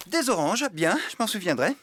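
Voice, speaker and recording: A man's voice: sits high, moderate pace, slight background noise. A man voicing a friendly, forgetful farmhand in a children's cartoon, eager to please and slightly flustered. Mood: easygoing. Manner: animated, good-natured, slightly flustered. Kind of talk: French children's cartoon dubbing. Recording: background music under the voice.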